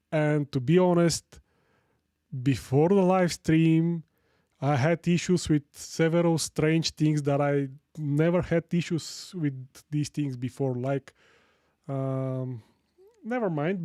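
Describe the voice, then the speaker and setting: A man from Sofia with a Bulgarian accent hosting a casual live tech tutorial, chatting with viewers in a halting way and repeating phrases for emphasis. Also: on the high side, warm tone, no room sound, studio quality. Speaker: live stream host.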